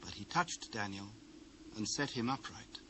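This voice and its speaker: A man's voice: on the low side, animated, a little slow, background noise. A British man narrating scripture in a solemn, formal storytelling manner for a dramatised reading.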